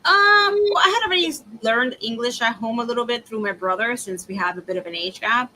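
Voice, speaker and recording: A woman's voice: on the high side, fairly quick, sharp tone, slight background noise, rough recording. A woman whose first language was Spanish, chatting in an easygoing way on a podcast. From Dominican family, grew up in the US. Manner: easygoing, laughs between remarks. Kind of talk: casual chat.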